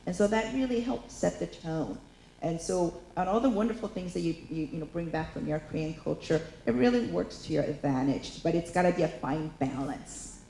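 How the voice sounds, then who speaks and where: A woman in her fifties speaking as a panelist on stage, candid and chatty, leaning on fillers as she talks. Her voice sits a little low. The hall gives it a roomy echo over a faint hum. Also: lively intonation, normal speed, vocal fry, dark tone. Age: 50s. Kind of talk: panel discussion. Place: lecture hall.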